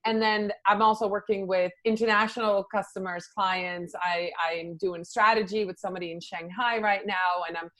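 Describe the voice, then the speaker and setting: A middle-aged woman from the Netherlands talks through her work as a podcast guest. Her voice sits at an ordinary pitch, and she speaks in a loose, chatty way with lots of ums and restarts. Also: fairly even intonation, moderate pace, hardly any pauses, a bit bright. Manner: chatty, many fillers and restarts. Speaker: social media consultant, podcast guest. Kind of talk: conversational interview answers.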